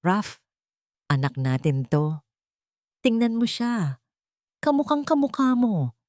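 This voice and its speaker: A woman's voice: on the high side, sing-song, slow pace, a little mellow, no room sound, studio quality, voice pushed up. Woman acting a desperate young mother, pleading. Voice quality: breaking, strained voice. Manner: pleading, tearful.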